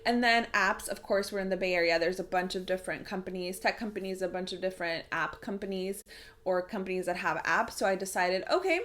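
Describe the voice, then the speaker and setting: Young woman doing a casual how-to vlog, chatty, normal pitched voice. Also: a little fast, a bit bright. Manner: chatty, explanatory. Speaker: voice actor and video creator. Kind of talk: casual tutorial vlog to camera.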